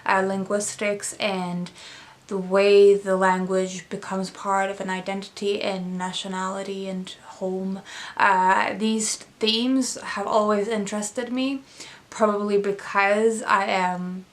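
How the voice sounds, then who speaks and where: A woman's voice: medium pitch, flat delivery, slow. A young woman, a native Swedish speaker, talking casually and thoughtfully about books she has read, with many fillers and restarts. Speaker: book vlogger. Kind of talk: casual book review chat.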